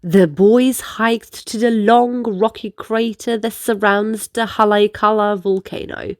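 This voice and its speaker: A woman reading a children's book aloud in an expressive, deliberate storytelling manner. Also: medium pitch, no echo, clean studio sound.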